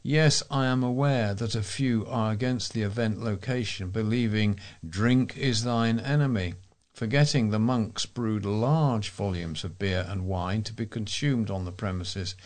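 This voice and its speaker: Low-pitched British man reading news. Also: measured pace. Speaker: volunteer newsreader. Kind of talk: reading local news and letters aloud.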